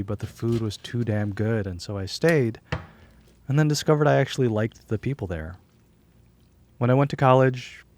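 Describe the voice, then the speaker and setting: A man's voice: lowish voice, darkish tone, a little hiss or hum. A young man from the United States, whose first language was Korean, narrating his personal film in a reflective, candid, wry voice-over. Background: guitar music.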